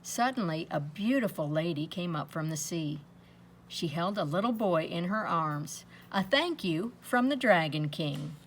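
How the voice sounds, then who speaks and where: A woman reading aloud to children in an expressive storytelling style, with dramatic pauses and drawn-out emphasis. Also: on the low side, slight background noise. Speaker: storybook reader.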